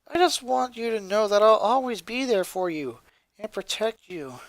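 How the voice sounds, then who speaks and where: A man's voice: sits high, animated, moderate pace, dry recording, pitched above the performer's own voice. A man voicing a tough but caring tomboy girl in a story reading, earnest and easily embarrassed. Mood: earnest, tender.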